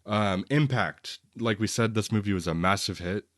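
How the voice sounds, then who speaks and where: A man's voice: fairly low pitch, normal speed, a bit bright, no echo, clean studio sound. A man co-hosting a podcast, casually talking through a film review in a conversational, opinionated way. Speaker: podcast co-host.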